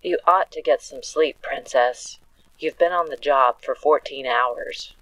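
A woman's voice: a bass voice, a little slow, a bit bright, full character voice. A woman playing a concerned, dutiful Amazon doctor in a radio serial, matter-of-fact and deferential. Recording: muffled, dull lo-fi sound.

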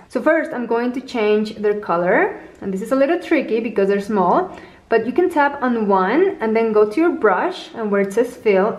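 A woman's voice: fairly high pitch, a little mellow. A woman hosting a tutorial, patiently explaining each step as she works on a tablet. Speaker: tutorial host. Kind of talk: step-by-step app tutorial narration. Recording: roomy echo.

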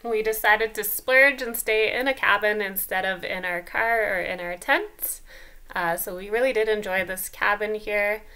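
A woman's voice: mid-range voice, moderate pace, clear, resonant tone. A woman from Canada hosting a travel vlog, narrating a voice-over in an easygoing way with frequent ums. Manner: relaxed narration with filler ums. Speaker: vlog host.

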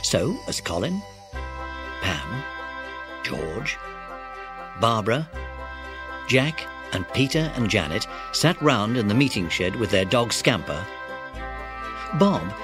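Animated male storybook narrator over music. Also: fairly low pitch, slow, pauses often. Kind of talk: scripted children's audiobook narration.

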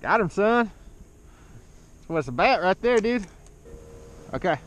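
A young man fishing from a kayak, joking and hollering excitedly as fish come in, recorded on a head-mounted action camera out on the water. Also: high-pitched, slow, slight background noise. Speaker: kayak angler. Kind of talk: casual fishing banter. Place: kayak on open bay outdoors.

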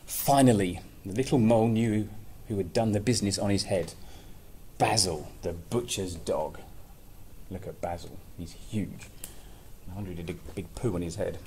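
Low-voiced man reading a children's story, noisy recording. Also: normal speed, warm tone. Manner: playful, chatty asides between read passages. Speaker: storyteller reading a picture book. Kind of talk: expressive children's story reading with casual asides. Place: small plain indoor office-like room. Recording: clearly noisy room recording.

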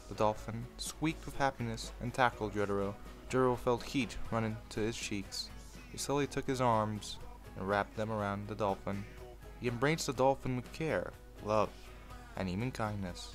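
A man's voice: on the low side, normal speed, faint music behind. A man in an informal, sweary manner, hosting a video and moving between casual commentary and storytelling. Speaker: video host reading fan fiction aloud.

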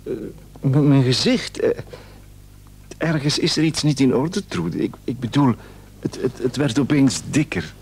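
A man's voice: mid-range voice, a little slow, pauses often, a little mellow, a little hiss or hum, natural voice. An actor playing an anxious, frightened husband who stammers and repeats his words in a radio play. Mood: uneasy, hesitant. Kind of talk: Dutch radio play acting.

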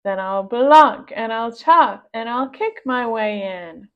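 A woman's voice: on the high side, expressive, slow, highs cut off. A woman voicing a bold, feisty girl from a children's storybook, speaking with emphasis. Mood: indignant.

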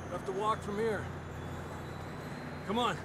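Watchful mexican outlaw in a western game, spanish native, really high pitched for a man, loud outdoor noise all over it. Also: fairly even intonation, a little slow. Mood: urgent, cautioning. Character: watchful male outlaw gunslinger guiding a companion. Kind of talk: video game dramatic acting.

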